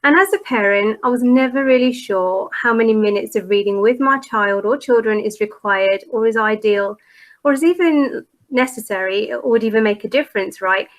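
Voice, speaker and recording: A woman's voice: on the high side, normal speed. A middle-aged woman presenting a parent workshop in a conversational, informal way, relatable and reassuring.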